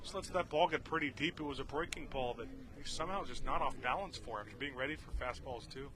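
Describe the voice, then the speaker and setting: A man's voice: medium pitch, quick pace. A man giving conversational analysis as color commentator on a baseball broadcast. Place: outdoors at a baseball game. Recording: ballpark crowd noise behind. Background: crowd.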